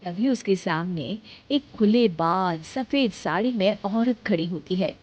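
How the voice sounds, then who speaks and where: A woman's voice: mid-range voice, expressive, a little slow, a little mellow, lo-fi sound. A woman reading a scripted narration for a cartoon family story.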